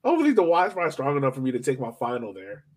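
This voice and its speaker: A man's voice: medium pitch, wildly swooping pitch, racing, darkish tone. A young man hosting a sports podcast in an informal, slangy, chatty way. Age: young adult. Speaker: podcast host. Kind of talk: casual sports podcast chat.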